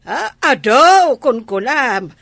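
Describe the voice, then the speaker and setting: A woman's voice: sits high, sing-song, slow pace, vocal fry, fairly bright tone, no room sound, heavily acted. A woman with a Filipino Ilocano accent playing a doubting village mother of a grown son in an amateur comedy drama. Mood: skeptical, hopeful.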